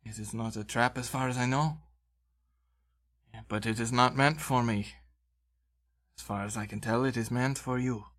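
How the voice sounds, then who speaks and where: A man voicing an earnest, centuries-old male elf scholar in a tabletop game, speaking in a measured, formal, halting way. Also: medium pitch, steady tone, fairly bright tone, natural voice.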